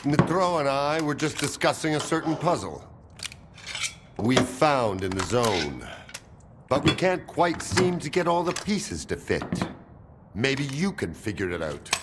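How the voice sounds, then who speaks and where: An actor playing an angry, suspicious military colonel in a video game, with a gruff, confrontational, sardonic manner. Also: male voice, mid-range voice, sing-song, measured pace, background noise. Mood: menacing. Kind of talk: video game cutscene acting.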